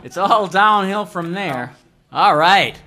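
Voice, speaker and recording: A middle-aged man from Minnesota trades jokes between songs on a small studio stage, speaking into a stand mic. His voice sits a touch high and swings up and down playfully, and he pokes fun at himself. Live guitar music runs steadily behind him. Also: takes it slowly. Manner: joking, self-mocking, lively. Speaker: band member. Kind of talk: casual stage banter.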